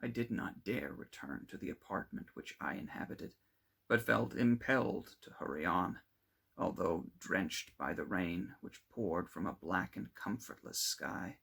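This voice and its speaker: A man reading classic literary fiction aloud as an amateur narrator, with dramatic, deliberate phrasing. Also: low-pitched, lively intonation, creaky, a bit bright, breathy edge. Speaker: amateur audiobook reader.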